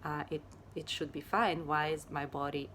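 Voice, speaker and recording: Low flat-voiced woman from ukraine, slow and hesitant, chatting about yoga stuff. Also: a little hiss or hum. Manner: unhurried and hesitant, many fillers. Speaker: yoga teacher and Ayurveda therapist. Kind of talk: casual personal talk to camera.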